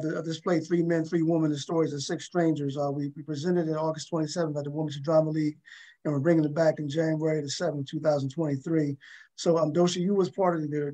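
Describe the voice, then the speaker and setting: A man hosting a casual interview show, speaking in a halting way and often repeating his words. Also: a little high, darkish tone, low-quality recording. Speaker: talk show host.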